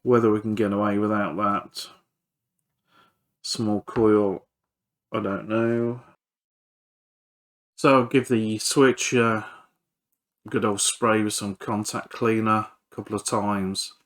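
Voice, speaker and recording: A man's voice: on the low side, flat delivery, slow, long pauses. A man giving casual, hands-on repair commentary as he works, hesitant and thinking aloud. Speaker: electronics repairer presenting a video.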